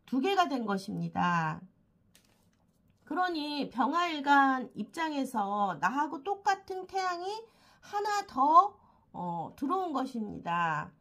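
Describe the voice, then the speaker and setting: A woman with a slightly hoarse throat from a cold explains a monthly fortune reading as a host, haltingly, with frequent filled pauses. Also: high voice, bright, forceful tone. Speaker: fortune-telling channel host. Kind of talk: explanatory monthly horoscope talk.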